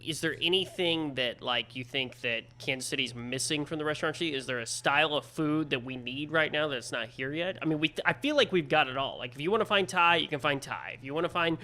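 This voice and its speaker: A man's voice: a little high, fairly quick, few pauses, sharp tone. A male podcast host with a Midwestern American accent, chatting casually and joking at his own expense while interviewing a guest. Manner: chatty, joking, self-deprecating.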